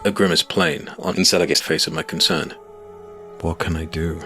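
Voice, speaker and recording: A man playing a grave, determined hero, telling his story as dramatic, measured first-person fantasy narration. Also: very deep voice, steady tone, sharp tone. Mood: grave, intense. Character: male monster-hunting hero recounting battle, grave, determined. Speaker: narrator and protagonist. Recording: prominent music behind the narration.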